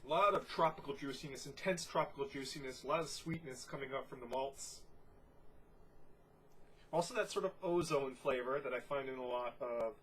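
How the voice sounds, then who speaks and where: A congested man giving a casual, descriptive beer tasting review, unhurried and full of "you know" fillers. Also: medium pitch, a bit bright, slight background noise, rough recording.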